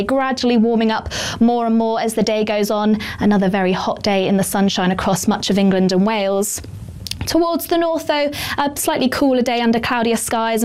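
A woman presenting a scripted weather forecast in a measured, even delivery. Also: mid-range voice.

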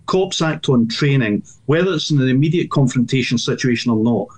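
Blunt, husky Scottish older man. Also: mid-range voice, animated, brisk, darkish tone. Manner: blunt, emphatic, with repeated restarts. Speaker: former police superintendent. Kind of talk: news interview guest.

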